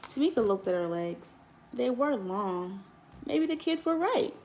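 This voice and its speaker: A woman teacher reads a children's picture book aloud, lifting her voice on key words in a lilting, expressive way. There is a slight gravelly fry in her voice. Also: on the high side, unhurried, background noise. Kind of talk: storybook read-aloud.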